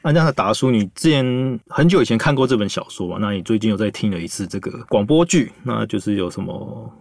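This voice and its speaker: A man's voice: lowish voice, expressive, fast, gravelly, no echo. A man with a Taiwanese Mandarin accent, hosting a casual chat. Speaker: host.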